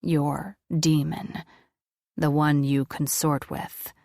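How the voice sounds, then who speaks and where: A woman's voice: deep voice, flat delivery, creaky, darkish tone, no room sound, clean studio sound, pitched below the performer's own voice, full character voice. Woman voicing a cold, grave male angel. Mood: menacing. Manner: slow, grave and commanding. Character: ancient stern male angel, cold and menacing.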